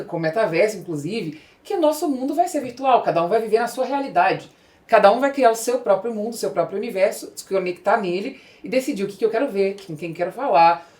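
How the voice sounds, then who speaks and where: Fast-talking Brazilian woman hosting, roomy echo. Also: a little high, a little mellow. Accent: Brazilian Portuguese. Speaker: podcast host, interviewer. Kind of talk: conversational interview.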